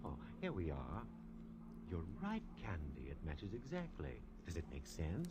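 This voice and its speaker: A man's voice: sits low, wildly swooping pitch, a little slow, a crowd in the background, heavily acted. An actor playing a fussy, refined male companion with cultured airs, speaking in a languid, mannered way.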